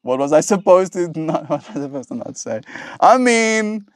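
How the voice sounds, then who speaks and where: A man's voice: sits high, expressive, moderate pace, dry recording. Young male streamer, native Afrikaans speaker from southern Africa, playful and rambling, dry recording. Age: 20s. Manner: playful, rambling, laughs often. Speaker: livestreamer. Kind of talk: casual chat with a stream audience.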